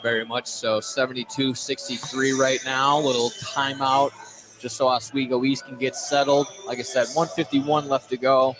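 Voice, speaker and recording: A man doing live play-by-play of a high school basketball game on radio, with casual, loose phrasing and repeated words. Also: flat delivery, normal speed. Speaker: play-by-play sports announcer. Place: indoor high school gym during a game. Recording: live gym broadcast, clear background noise.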